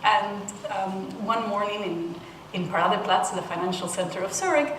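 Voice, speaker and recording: A woman's voice: medium pitch, fairly even intonation, normal speed. Woman speaker from Peru, roomy sound. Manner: thoughtful, with frequent restarts and soft laughs. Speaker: panel speaker. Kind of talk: conference talk. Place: conference room. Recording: roomy, distant mic with noise.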